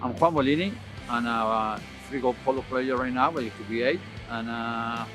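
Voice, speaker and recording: A man's voice: medium pitch, moderate pace. A man from Argentina, a polo player, talking casually and jokingly in an interview clip. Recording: voice over guitar music. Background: guitar music.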